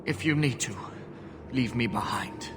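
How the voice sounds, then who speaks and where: A man playing a wounded, dying husband in a fantasy game, gentle and resigned, with a weak, breathy, halting voice. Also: mid-range voice, close to an everyday voice. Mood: pained, resigned. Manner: halting, pleading. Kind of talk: fantasy video game acting. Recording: loud music behind the voice.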